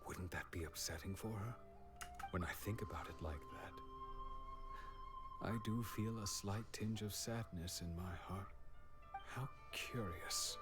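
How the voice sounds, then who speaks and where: An actor playing a gentle, forgetful man in a video game, speaking in a soft, reflective, wistful way. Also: sits low, measured pace. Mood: wistful, musing. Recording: background music.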